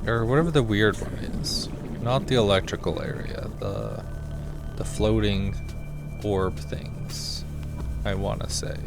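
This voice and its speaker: Guy streaming a game, kinda low slightly scratchy voice, real slow rambly muttering, music going behind him. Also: creaky. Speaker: small gaming streamer. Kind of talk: casual gameplay commentary, thinking aloud.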